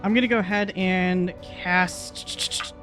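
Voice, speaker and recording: A man's voice: high voice, fairly even intonation, takes it slowly, a bit bright. A man talks as a player at a tabletop game, in casual speech. He works out his moves aloud, hesitantly. Faint music runs behind him.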